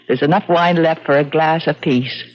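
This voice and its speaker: A woman's voice: deep voice, slow pace, slight background noise. Wry, forgiving radio-drama woman, muffled recording. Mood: warm, nostalgic. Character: Alice, wry, forgiving betrayed woman, tipsy and reflective. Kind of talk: 1970s radio drama acting. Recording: dull, lo-fi old radio recording.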